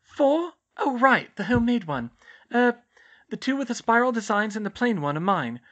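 A woman's voice: fairly high pitch, big pitch swings, moderate pace, pitched above the performer's own voice. A woman voicing an anxious, earnest and insistent teenage girl witch in a storybook reading. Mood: nervous, pressing. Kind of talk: audiobook-style character dialogue.

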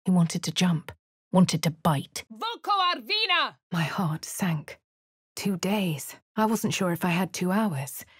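A woman with a posh English accent speaking a game hero's lines and first-person narration in a measured way. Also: fairly low pitch, very animated, slightly rough, no room sound, clean studio sound. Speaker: player character voice. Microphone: studio mic.

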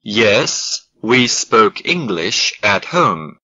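A man reading slow model sentences for an English lesson, each word carefully spaced. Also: lowish voice, sing-song, pushed and bright, muffled recording. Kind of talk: slow scripted language drill.

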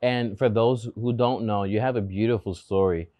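A man's voice: on the low side, fairly even intonation, moderate pace, warm tone, no echo. Spanish-accented male host, chatty and agreeable, close dry sound. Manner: agreeable, frequent backchannels, mixes in Spanish phrases. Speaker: host, interviewer. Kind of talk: casual interview conversation. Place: shaded outdoor patio with plants. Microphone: lapel.

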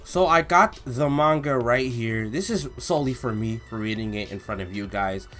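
Expressive young man, casual reaction host. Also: mid-range voice, moderate pace, over music. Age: young adult. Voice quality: slangy, informal. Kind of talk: casual reaction commentary and read-along. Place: small indoor room at home.

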